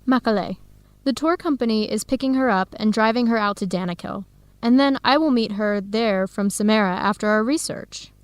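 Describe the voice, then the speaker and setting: An actress playing an eager, earnest young Ethiopian-American student researcher in a radio drama for English learners, speaking clearly and in measured phrases. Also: female voice, mid-range voice, no room sound, close to an everyday voice. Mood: friendly, upbeat. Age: young adult.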